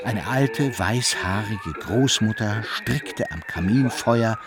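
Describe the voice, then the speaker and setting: Lively, wry male narrator. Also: lowish voice, slow pace, music in the background. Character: wry male storyteller of a satirical tale. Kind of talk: scripted radio-play narration.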